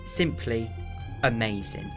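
A man's voice: medium pitch, expressive, takes it slowly. Man acting a charming, scatterbrained adventurer, music behind. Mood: charming, admiring. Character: charming, apologetic, scatterbrained ageless male time-adventurer. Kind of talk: full-cast audio drama acting.

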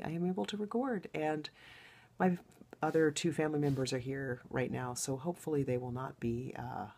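Husky low-voiced woman podcast host. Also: dark tone. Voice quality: low baritone voice. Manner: chatty and rambling, with laughs. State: husky voice after a loud wedding. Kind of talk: casual podcast monologue. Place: home.

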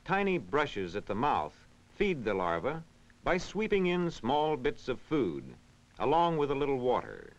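A man reading scripted educational narration in a measured, instructional, clearly enunciated way. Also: medium pitch, wildly swooping pitch. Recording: dull, band-limited vintage film soundtrack.

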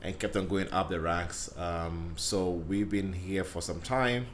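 Podcast guest: low-voiced man from Ghana in his thirties, playful storyteller, boom mic in a studio, faint hum behind. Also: measured pace. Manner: laughs often, lively storytelling with playful boasts. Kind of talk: casual interview chat. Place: indoor studio lounge set. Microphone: podcast mic on boom arm.

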